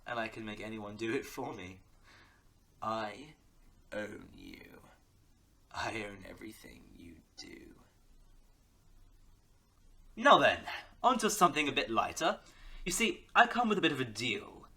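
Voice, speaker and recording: A man's voice: on the low side, lively intonation, unhurried, long pauses, pushed and bright, exaggerated voice. A man playing a smug, sadistic crime boss in an audio drama, taunting theatrically and slipping into menacing whispers. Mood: calm menace. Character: smug, sadistic male crime-boss villain who threatens softly. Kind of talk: audio roleplay drama acting.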